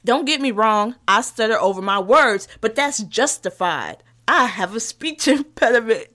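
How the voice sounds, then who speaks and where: A woman's voice: on the high side, animated, normal speed, pushed and bright. A woman vlogger with a lisp, ranting in a sarcastic, sassy way as she gives comic advice. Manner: sassy, sarcastic, heated rants. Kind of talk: comedic advice vlog.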